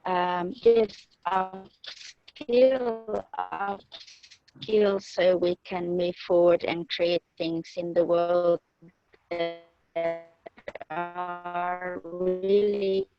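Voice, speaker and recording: A woman, a teacher, introducing herself haltingly with many fillers on a video call. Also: on the low side, creaky, faint music behind. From Hungary. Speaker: participant, teacher. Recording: dull dry video-call audio.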